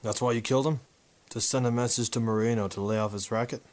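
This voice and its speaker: A man's voice: medium pitch, steady tone, quick pace, darkish tone, close to an everyday voice. A man playing a cocky war photographer turned sleuth in a hard-boiled 1950s noir radio drama, with a dry, wisecracking delivery. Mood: cocky, needling.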